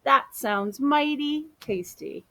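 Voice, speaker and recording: A woman voicing a polite, appreciative policewoman in a picture book, with measured, relishing delivery. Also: high voice, expressive, a bit bright, pitched above the performer's own voice, full character voice.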